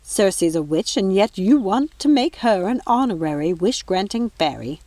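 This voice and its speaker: Woman as older fairy nanny, storybook, reproachful, protective, emphatic, medium pitch. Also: big pitch swings, moderate pace, dark tone, no room sound, natural voice.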